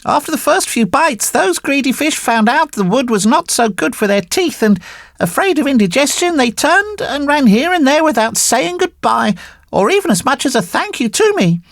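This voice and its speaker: A sly marionette boy with a high, gravelly, mocking voice that bounces up and down as he gloats. The storybook puppet sounds impish and boastful. It is recorded close and dry. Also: very animated, moderate pace, fairly bright tone, dry recording, full character voice. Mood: cheeky. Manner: playful, teasing storytelling. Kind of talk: audiobook reading.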